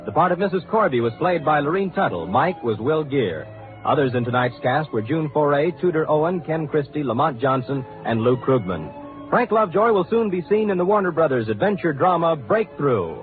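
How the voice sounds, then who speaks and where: Male 1950s radio announcer; highish, lively pitch, formal promotional delivery, narrowband sound. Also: a little fast. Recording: tinny old radio, faint music.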